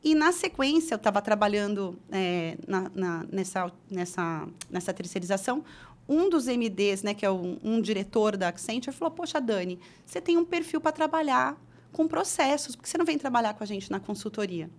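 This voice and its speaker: A woman's voice: medium pitch, lively intonation, moderate pace. A middle-aged woman from Brazil, an interview guest telling her career story in a chatty way with many restarts. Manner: chatty, frequent restarts and fillers.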